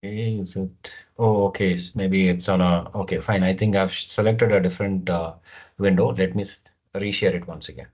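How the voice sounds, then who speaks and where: A man's voice: low-pitched, steady tone, normal speed, a little mellow. Hesitant Indian man hosting a webinar. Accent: Indian English. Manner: hesitant, many fillers. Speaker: webinar host. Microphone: computer mic on video call. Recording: dull lo-fi video call audio.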